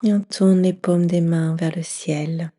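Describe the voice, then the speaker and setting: Husky, slow woman guiding meditation. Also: on the low side, fairly even intonation. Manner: soft, soothing, often trailing into breathy whisper. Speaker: yoga nidra teacher guiding a session. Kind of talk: guided meditation.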